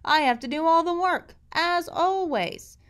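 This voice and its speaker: A woman's voice: extremely high pitch, unhurried, pitched above the performer's own voice. A woman voicing a bossy, grumpy older male fisherman who scolds his lazy nephews. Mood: grumbling. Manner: exasperated, scolding yells.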